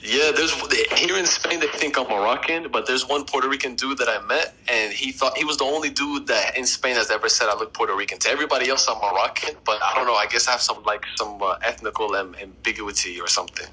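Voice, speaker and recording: A man's voice: mid-range voice, fast, hardly any pauses, husky, low-quality recording. A young man in his twenties, a podcaster and rapper, chatting loosely about his music and family over a headset video call from a small room. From United States. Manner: relaxed storytelling, loose fillers. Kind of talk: casual interview chat.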